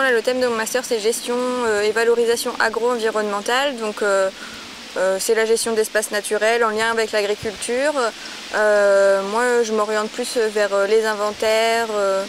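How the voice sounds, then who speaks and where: A woman's voice: mid-range voice, a little hiss or hum. A young woman in her twenties from Normandy, talking about her ecology fieldwork in a documentary interview, hesitant and full of fillers and restarts. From Normandy, France. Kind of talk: filmed documentary portrait interview.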